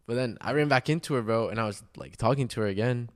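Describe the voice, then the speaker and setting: A man's voice: medium pitch, quick pace. A young man co-hosting a podcast, telling stories casually in slangy bro-talk peppered with "like". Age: young adult. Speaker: podcast co-host. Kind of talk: casual chat, storytelling.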